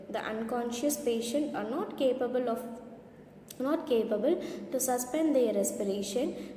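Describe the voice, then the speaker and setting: Lady teacher reading her lecture notes, soft mellow voice, lots of room echo and faint hiss. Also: a little high. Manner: careful, reading from notes with small slips. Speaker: lecturer in radiography. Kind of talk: read-out lecture. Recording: echoey room with long reverb, faint noise.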